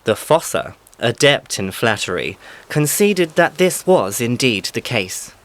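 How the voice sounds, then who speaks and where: A man's voice: medium pitch, very animated, a little slow, fairly bright tone. A man reading scripted storybook narration as the narrator.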